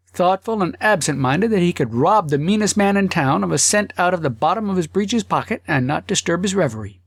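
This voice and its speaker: Guy reading a classic funny story audiobook, slightly high voice, expressive pauses, super dry recording. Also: dry recording. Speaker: audiobook narrator. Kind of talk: literary audiobook narration of a humorous story.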